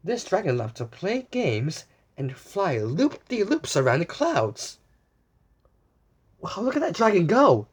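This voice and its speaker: Animated, unhurried children's storyteller. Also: medium pitch, husky. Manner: gentle exclamations, asides and repeats to young listeners. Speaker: children's story time host.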